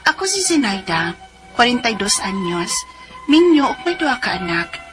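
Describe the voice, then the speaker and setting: Radio drama: a Cebuano wife and mother, forties, high voice, music underneath. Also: big pitch swings, moderate pace. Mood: earnest, narrating. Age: 40s. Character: Zenaida, 42-year-old married mother of two, letter sender. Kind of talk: radio drama acting and letter narration. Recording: music bed behind the voice.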